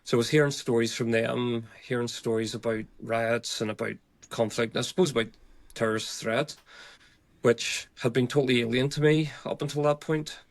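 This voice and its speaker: A man in his forties with a Northern Irish accent, telling his own story as an interview guest in a halting, conversational way. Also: mid-range voice, steady tone, vocal fry. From Northern Ireland. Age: 40s. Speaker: podcast guest, retired police officer. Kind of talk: reflective personal interview.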